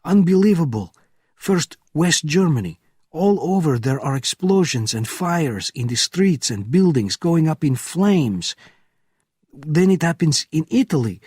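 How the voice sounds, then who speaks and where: A man voicing a panicked male border-gate officer who screams high and frantic. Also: unhurried, hardly any pauses, breathy edge, no room sound, studio quality. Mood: frantic, screaming.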